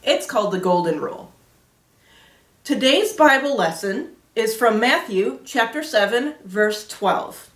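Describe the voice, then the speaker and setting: A woman's voice: a little high, animated, a little slow, a bit bright. A woman hosting a children's Sunday school storytime, explaining things simply in a teacherly way with rhetorical questions. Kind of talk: explaining simply to children, with Bible reading and interviewing. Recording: roomy echo, distant from microphone.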